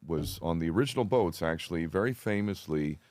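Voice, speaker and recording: An extremely deep-voiced man talks as a podcast guest, recorded dry with no room sound. He is earnest and deliberate in a conversational, storytelling way, often interrupting himself to rephrase. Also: lively intonation, darkish tone, dry recording.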